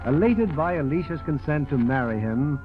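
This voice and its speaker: Male host, vintage radio narration, animated read over music. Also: fairly high pitch, normal speed. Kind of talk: scripted 1940s radio narration. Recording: muffled vintage radio broadcast.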